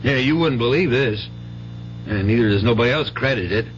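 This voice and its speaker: A man's voice: medium pitch, brisk, lightly acted. An actor playing a good-natured, rambling rural American father with a stammering, folksy delivery in a 1970s radio drama. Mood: excited, amused. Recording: dull hissy 1970s radio broadcast with hum.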